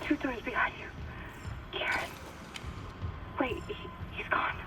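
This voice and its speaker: A woman acts out a tense crew member in a dramatic video game. She is cynical and shaken, confiding in an edgy way. Her voice is set back from the mic under loud noise. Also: takes it slowly, close to an everyday voice. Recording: distant voice in heavy noise.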